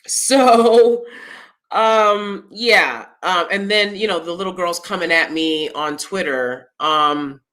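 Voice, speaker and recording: A woman with a loud, clear voice giving her opinions in a casual vlog, blunt and emphatic as she speaks straight to viewers. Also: fairly low pitch, slow. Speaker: YouTube commentator.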